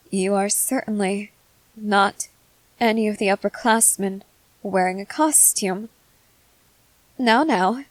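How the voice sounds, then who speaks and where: A woman's voice: medium pitch, dry recording, poor audio. Proud, nervous schoolgirl in a dry recording, acted by a woman. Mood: frightened. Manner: formal wordy phrasing, hesitant stammers and repetitions. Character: nervous but defiant schoolgirl, proud and formal, facing a monster. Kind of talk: scripted ASMR roleplay, addressing the listener.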